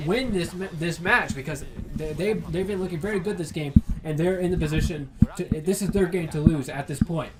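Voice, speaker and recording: A young man with a raspy voice, casting an esports match play-by-play by himself, often restarting his sentences and getting louder when the fights break out. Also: sits high, noisy background. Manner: frequent restarts and stumbles, rising to excited shouting in fights. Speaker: esports caster. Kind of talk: solo play-by-play shoutcast.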